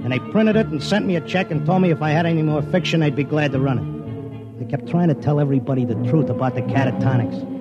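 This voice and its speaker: A man's voice: a little high, lightly acted. An actor playing a stubborn, slangy racetrack tipster in a 1950s radio drama, speaking plainly as he tells his story. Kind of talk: 1950s radio acting, first-person narration and dialogue. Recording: muffled old radio recording, music behind.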